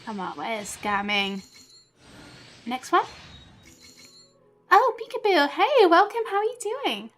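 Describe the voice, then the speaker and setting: A woman chatting casually on a game livestream, excitable and giggly, half talking to herself. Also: high-pitched, takes it slowly, pauses often, faint music behind. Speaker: game streamer. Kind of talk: casual livestream chat while playing a video game.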